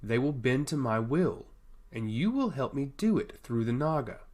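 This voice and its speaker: A man's voice: mid-range voice, sing-song, a little mellow. Man voicing a commanding, ambitious sea god. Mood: domineering. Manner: commanding, stoic, deliberate. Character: stern, domineering sea god with trident, ambitious. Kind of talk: fantasy audiobook dialogue.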